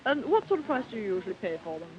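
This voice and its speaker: A woman's voice: medium pitch, big pitch swings, racing, a little hiss or hum. A woman, a reporter interviewing people on the spot in a department store. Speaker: newsreel reporter. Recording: muffled 1950s newsreel, busy crowd.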